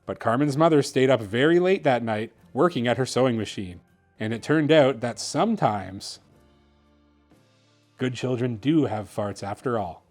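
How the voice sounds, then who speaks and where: A man hosting and narrating a children's bedtime story in an expressive, theatrical way. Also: medium pitch, normal speed, faint music behind. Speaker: host reading children's books aloud.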